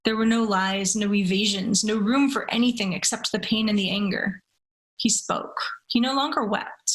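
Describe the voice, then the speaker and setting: A woman who speaks in a measured, deliberate way, with careful phrasing. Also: medium pitch, a bit bright, rough recording. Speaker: story reader. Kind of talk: dramatic literary reading, then casual discussion.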